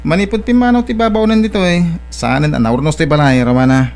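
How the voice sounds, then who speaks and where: A man's voice: on the high side, fairly even intonation, fast talker, a little mellow, close to an everyday voice. A man playing an evasive, easygoing young suitor in an Ilocano audio drama, hesitant and stalling as he talks. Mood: apologetic, friendly.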